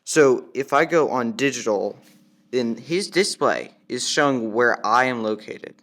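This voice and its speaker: Man, average pitch, plain instructional manner, casual product demonstrator, step-by-step explaining. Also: lively intonation, unhurried, fairly bright tone. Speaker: product demonstrator, ham radio operator.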